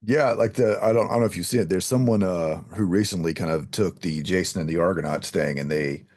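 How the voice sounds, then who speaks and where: A man's voice: low-pitched, a little fast, a little mellow. A man hosting an interview in casual chat, rambling and hesitant as he circles toward each question. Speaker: podcast host, interviewer.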